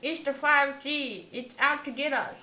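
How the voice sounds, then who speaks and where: A woman streaming a visual novel, reading text aloud and thinking out loud in an animated, rambling way. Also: high-pitched, measured pace. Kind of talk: reading game text aloud with casual commentary. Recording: roomy echo, faint background noise.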